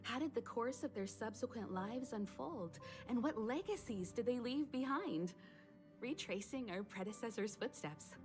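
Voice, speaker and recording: A woman's voice: fairly high pitch, expressive, natural voice. Composed, lilting woman navigator over music acted. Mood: gracious, reflective. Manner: measured, formal, gently musing. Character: composed, wise adult woman navigator, gracious and knowing. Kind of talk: video game voice acting. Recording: game dialogue over music.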